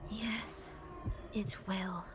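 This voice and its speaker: An actress playing a somber, obsessed conductor in a video game, hushed, breathy and solemn. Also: female voice, medium pitch, steady tone, drawn-out words. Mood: solemn resolve. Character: somber, obsessed female conductor, softly menacing. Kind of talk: dramatic video game voice acting. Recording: music behind the voice.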